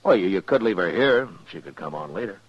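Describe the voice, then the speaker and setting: An American man playing a steady, watchful frontier marshal in a 1950s western radio drama, measured and laconic in acting and narration. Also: low voice, husky. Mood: measured, calm. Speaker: lead actor and narrator. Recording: muffled 1950s radio recording, music behind.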